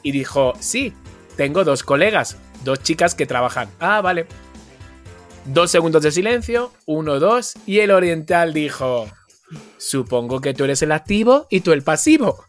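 A man with an accent from Spain co-hosting a casual chat podcast, telling anecdotes in an expressive, dramatic way and acting out dialogue. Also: fairly high pitch, measured pace, soft background music. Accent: Spanish from Spain. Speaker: podcast co-host.

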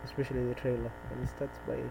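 Kenyan male podcast host, medium pitch, loose off-the-cuff delivery with hesitant, wandering thoughts, over a clear humming background noise. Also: little pitch movement, fast. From Nairobi, Kenya. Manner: rambling, hesitant, thinking aloud. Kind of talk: casual unscripted monologue chat. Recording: noisy with clear mains hum.

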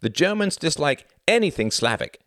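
A man's voice: fairly high pitch, big pitch swings, moderate pace, fairly bright tone, dry recording, clean studio sound, pitched above the performer's own voice. A man voicing a proud, scornful young nationalist academic from Germany in an audiobook, with a declamatory, insistent delivery. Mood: airy, then hardened.

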